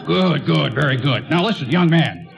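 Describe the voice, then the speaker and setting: An actor playing a forgetful, self-satisfied big-city mayor in a 1940s-style radio play, blustery and stammering, repeating himself. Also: male voice, a little high, expressive, normal speed, vocal fry. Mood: jovial, boastful.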